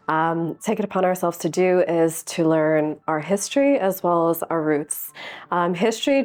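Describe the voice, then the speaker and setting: A woman's voice: fairly low pitch, a little mellow. Earnest woman in her early thirties. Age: early 30s. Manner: thoughtful, earnest, many "like" fillers. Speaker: interview guest speaker. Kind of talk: reflective conversational answers.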